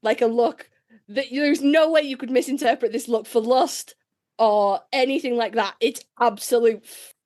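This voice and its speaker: A woman co-hosting a casual, joking fan podcast, telling things in an animated way and swearing freely. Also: a little high, normal speed. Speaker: podcast co-host.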